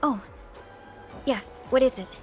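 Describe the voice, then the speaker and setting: Studious game heroine, composed, precise, explanatory, slightly high pitch, faint music. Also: female voice, slow. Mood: concerned. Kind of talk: video game dialogue acting.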